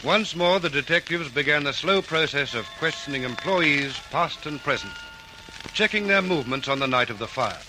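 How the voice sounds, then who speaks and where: Higher-pitched male crime-drama narrator. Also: lively intonation, rough recording. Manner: formal, deliberate storytelling. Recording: narration over prominent music.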